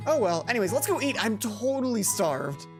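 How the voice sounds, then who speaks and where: A performer voicing an excitable, talkative, boy-crazy teenage schoolgirl with exaggerated, breathless gushing for a visual novel. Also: a little fast, music in the background, lightly acted. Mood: bubbly, amused. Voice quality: high songbird voice.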